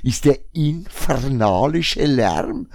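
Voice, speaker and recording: A man's voice: medium pitch, expressive, takes it slowly, creaky, darkish tone, slight background noise. A man with a Franconian German accent chatting casually to his listeners in a rambling blog monologue, full of wry asides. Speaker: shop blogger.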